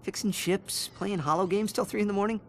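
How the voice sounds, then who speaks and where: An actor voicing a young, cheeky hero mechanic in a game cutscene, speaking in a casual, wry way. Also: male voice, high voice, steady tone, brisk, slight background noise. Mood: casual, dismissive. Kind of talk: animated game cutscene acting.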